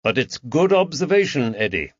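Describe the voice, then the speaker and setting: A man voicing a calm, thoughtful police detective who speaks in a measured, gentle way. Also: medium pitch, husky, voice pushed up. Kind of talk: audiobook character reading. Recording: dull dry recording.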